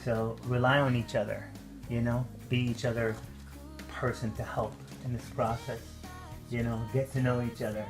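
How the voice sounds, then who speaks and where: An earnest man speaking as an indie film director, thinking aloud with a halting delivery. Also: medium pitch, level tone. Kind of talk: interview answers and addressing a group. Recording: background guitar music. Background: music.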